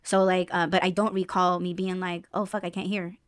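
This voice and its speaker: A woman hosting a podcast in casual chat, loud in tone, laughing often and swearing freely, with little bass in her voice. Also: level tone, a little fast, background noise. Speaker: podcast host.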